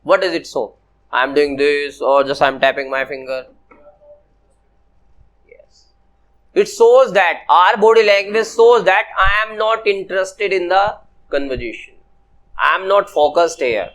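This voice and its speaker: 一位语速缓慢的男老师，讲课时反复问“明白吗”. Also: male voice, sits high, fairly bright tone, low-quality recording. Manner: slow, repetitive, often asks "Clear?". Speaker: personality development teacher. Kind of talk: classroom lecture to students.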